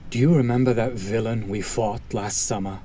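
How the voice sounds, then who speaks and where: A man playing a bitter, protective fallen hero turned villain in a dramatic audio roleplay, speaking in a deliberate, sardonic, theatrical way. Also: on the low side, steady tone, mellow, breathy edge. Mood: explaining, earnest. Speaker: voice actor. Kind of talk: dramatic audio roleplay monologue addressed to listener.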